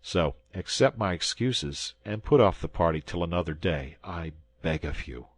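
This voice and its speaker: A man voicing a young guardsman hero who speaks urgently and earnestly, raised somewhat above his own low register. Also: animated, moderate pace, dry recording. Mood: grave. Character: young male guardsman hero, passionate and alarmed.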